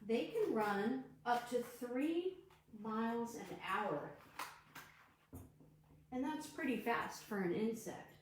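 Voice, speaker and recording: A woman children's librarian leading an online storytime, reading and leading movement games in an animated, sing-song way. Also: a little high, slow, long pauses, slight background noise. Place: library room. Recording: very echoey room.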